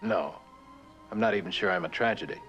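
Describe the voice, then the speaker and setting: An actor playing a determined, sardonic traveler in a suspense drama, speaking in clipped, insistent, dryly sarcastic lines. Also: male voice, deep voice, a little fast, a bit bright, muffled recording, faint music behind. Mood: dry, guarded. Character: determined, sardonic male traveler pressing for answers.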